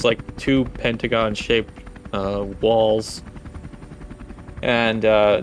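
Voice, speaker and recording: Male game master, halting improvised narration, fry in the voice, faint noise behind. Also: lowish voice. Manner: halting, descriptive.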